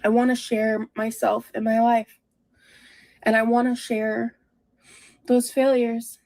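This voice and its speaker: A woman vlogger talking confessionally about herself in a halting, introspective way. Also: fairly high pitch, flat delivery, darkish tone, dry recording. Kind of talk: confessional personal talk.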